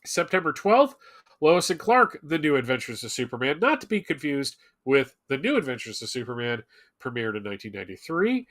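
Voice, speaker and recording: A man co-hosting a live fan show, reading a loosely scripted rundown with hesitant pauses and wry asides. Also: medium pitch, big pitch swings, fairly bright tone. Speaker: show co-host presenting comics history segment.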